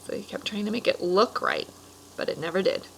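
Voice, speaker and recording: A woman reading a children's picture book aloud expressively, pausing for casual explanatory asides to young listeners. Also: mid-range voice, wildly swooping pitch, gravelly, a bit bright, slight background noise, poor audio. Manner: explanatory, stops to clarify words for children. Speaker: read-aloud storyteller.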